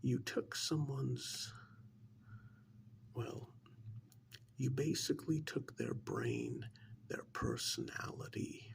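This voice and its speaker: A man playing a sinister, menacing agent in an ASMR role-play, speaking softly in a breathy, gravelly half-whisper with deliberate pauses. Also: mid-range voice, huge pitch swings, exaggerated voice. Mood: mocking, accusing. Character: sinister male agent coolly interrogating and blackmailing a mad scientist. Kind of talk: soft-spoken ASMR role-play monologue.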